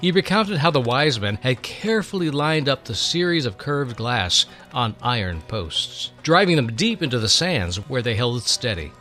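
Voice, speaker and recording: A man's voice: medium pitch, very animated, normal speed, few pauses, pushed and bright, soft background music. A man narrating a scripted story in a dramatic reading style.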